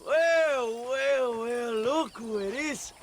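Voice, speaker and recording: An actor playing a vain, grandiose Mexican rebel leader in a video game, declaiming with a Mexican accent. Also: male voice, extremely high pitch, very slow, slight background noise, full character voice. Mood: boastful, hearty. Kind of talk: video game cutscene acting.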